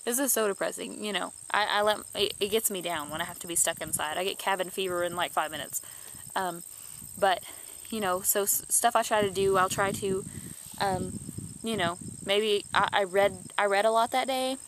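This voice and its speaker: A woman's voice: mid-range voice, moderate pace, fairly bright tone, a little hiss or hum. A young woman in her twenties with a stuffed-up nose from allergies, chatting casually to camera in a rambling way, full of restarts and fillers. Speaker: YouTuber.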